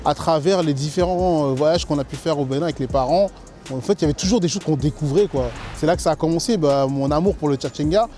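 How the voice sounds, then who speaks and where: Mellow, higher-pitched man from France. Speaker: food truck co-founder and chef. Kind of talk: personal testimony in a documentary interview.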